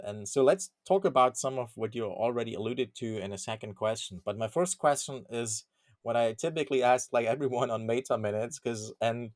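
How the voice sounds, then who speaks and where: A man hosting a video interview show, opening in a friendly, brisk manner and asking the guest questions. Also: mid-range voice, darkish tone. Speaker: host. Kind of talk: upbeat show host interview.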